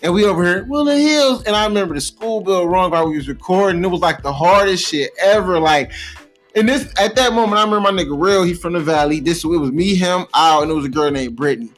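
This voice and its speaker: Young american guy, slightly high voice, swearing and slangy, telling stories on a podcast, bit of music behind. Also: fast. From Cleveland, United States. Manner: slangy, profane, repeats himself for emphasis. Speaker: podcast co-host. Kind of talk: casual podcast chat, storytelling.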